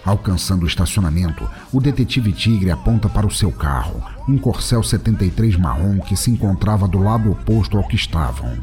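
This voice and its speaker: A man with a Brazilian accent narrates a comic action story from a script. His voice is low, and music plays clearly behind him.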